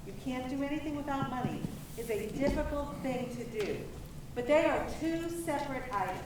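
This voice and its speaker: A woman with a high voice speaks firmly and loudly without a microphone. She is picked up from far across a very echoey hall, with clear room noise behind her. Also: lively intonation, brisk. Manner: loud, firm and rallying, without a microphone. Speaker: meeting participant. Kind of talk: public meeting remarks.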